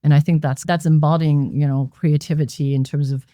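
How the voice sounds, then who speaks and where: A woman's voice: sits low, fairly even intonation, fairly quick, warm tone, dry recording, studio quality. A woman from Hong Kong, a guest on an interview podcast, who explains her views in a reflective, thoughtful way. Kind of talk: conversational interview answers. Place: members' club lounge.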